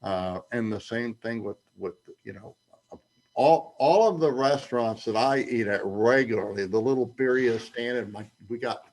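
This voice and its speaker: A man in his eighties, host of a newsletter, chatting casually in a rambling way with restarts and emphatic outbursts, getting over an illness and clearing his throat. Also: medium pitch, normal speed, a little mellow. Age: 80s. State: recovering from covid, clears throat. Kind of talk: casual chat. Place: Mexico's Baja coast.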